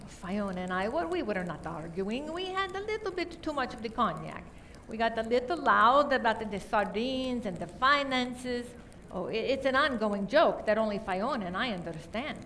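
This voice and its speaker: A woman's voice: a little high, bright, forceful tone, distant mic, a little hiss or hum, heavily acted. A woman playing an indignant, defensive murder suspect in an amateur radio play, emphatic and protesting.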